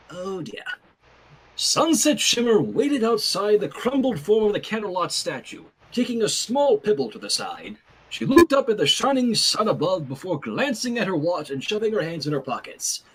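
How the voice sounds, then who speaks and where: A male host reads a fan story aloud with playful, chatty asides. His voice is high and slightly husky. The delivery swings very widely in pitch. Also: fairly bright tone, low-quality recording. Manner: playful, self-interrupting. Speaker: host, fan fiction reader.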